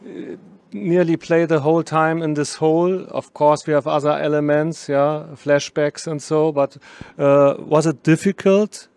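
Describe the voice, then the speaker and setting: Middle-aged man interviewing, gravelly and even. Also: on the high side, dry recording. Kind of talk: film festival interview. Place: indoor festival interview room. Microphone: handheld microphone held close.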